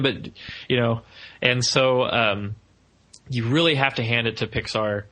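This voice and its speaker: Bright-voiced young man chatting casually. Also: medium pitch. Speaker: podcast co-host, animation student. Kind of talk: casual film review chat.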